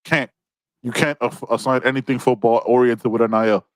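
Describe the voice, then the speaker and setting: A man's voice: mid-range voice, steady tone, fairly quick, clear, resonant tone, no room sound. A man co-hosting a casual sports podcast over a video call, teasing the others and reading out viewer comments. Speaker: podcast co-host.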